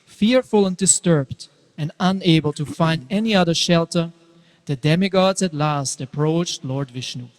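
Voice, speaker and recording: A man's voice: on the high side, normal speed. A man narrating a scripted devotional story as part of a dramatic reading. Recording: hall with long reverb.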